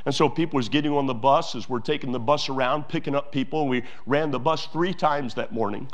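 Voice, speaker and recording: A man's voice: mid-range voice, brisk, dull audio. A pastor preaching a sermon to his church, emphatic, with repeated phrases and many fillers.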